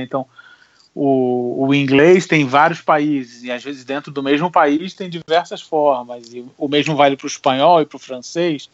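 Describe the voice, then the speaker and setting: Carioca man, animated storyteller, fairly high voice, dry and slightly dull recording. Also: dry recording. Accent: Carioca Portuguese. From Rio de Janeiro, Brazil. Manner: lively, animated storytelling with laughs. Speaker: podcast co-host. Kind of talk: casual chat.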